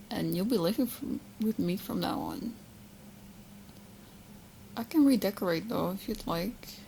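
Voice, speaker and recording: A woman playing a sweet, obsessed young witch girlfriend in an ASMR roleplay, teasing and smug with coy, halting asides. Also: mid-range voice, a little mellow, slight background noise, rough recording. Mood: coy, possessive.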